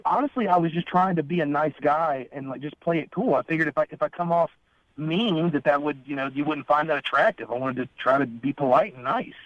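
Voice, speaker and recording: A man's voice: on the high side, fairly quick. A young man calling a radio show, talking casually in a hesitant, bemused way over the phone. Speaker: caller on a radio dating segment. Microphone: telephone. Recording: dull, dry radio phone line.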